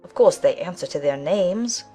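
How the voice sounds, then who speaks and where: A careless, matter-of-fact giant gnat, acted by a woman for a children's audiobook. The delivery is brisk and offhand, with music playing behind the voice. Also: on the low side, lively intonation, dark tone. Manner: brisk, offhand, buzzing between remarks. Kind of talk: dramatized children's audiobook acting.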